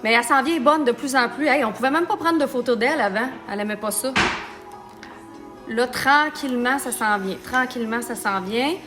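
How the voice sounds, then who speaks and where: Femme d'âge mûr à l'accent québécois, animatrice de live beauté, parle en riant, ton taquin. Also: female voice, fairly high pitch, moderate pace, music in the background. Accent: Québécois French. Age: middle-aged. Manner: chatty and joking, talks while applying makeup. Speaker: makeup artist and business owner. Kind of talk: live-stream makeup tutorial chat.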